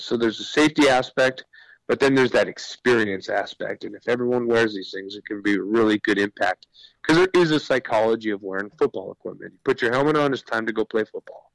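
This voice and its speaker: A man's voice: mid-range voice, no room sound, rough recording. Man explaining his product, dry close sound. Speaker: business owner, interview guest. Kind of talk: conversational radio interview pitch.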